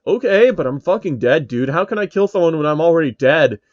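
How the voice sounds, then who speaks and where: A man's voice: on the high side, expressive, brisk, no room sound, highs cut off, pitched above the performer's own voice, lightly acted. A man voicing a sulky, foul-mouthed male game streamer with a whiny, grumbling manner in a fan story. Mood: dejected, whiny.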